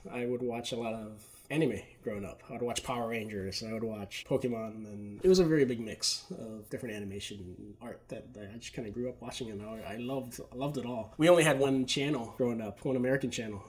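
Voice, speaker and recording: Young man from Okinawa, podcast guest, relaxed, rambling, casual chat. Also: medium pitch. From Okinawa, Japan. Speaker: podcast guest, animation layout artist.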